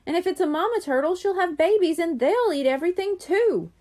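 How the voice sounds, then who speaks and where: A woman's voice: extremely high pitch, animated, a little fast, darkish tone, voice pushed up. A woman reading aloud while voicing a cheerful, enthusiastic boy in a children's storybook.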